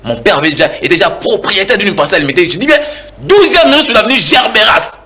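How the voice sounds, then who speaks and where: A man's voice: high-pitched, very animated, fast, bright, forceful tone. A man in his forties with a Congolese French accent, arguing heatedly as a guest in a televised political debate, repeating words as he presses his point. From Kinshasa, DR Congo.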